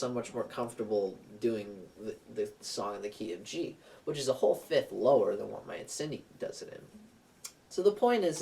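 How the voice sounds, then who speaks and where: A young man giving a relaxed guitar lesson, rambling with plenty of fillers and restarts as he talks through chords and keys. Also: mid-range voice, recorded from a distance. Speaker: guitar teacher. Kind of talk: casual guitar lesson with singing. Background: acoustic guitar.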